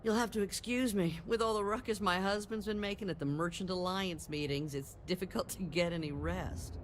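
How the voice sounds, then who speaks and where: A woman's voice: fairly low pitch, fairly even intonation, fairly quick, a little hiss or hum, natural voice. Polite, weary woman playing an older shopkeeper. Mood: weary, apologetic. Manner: courteous, gently complaining. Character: polite, weary older female general-store keeper.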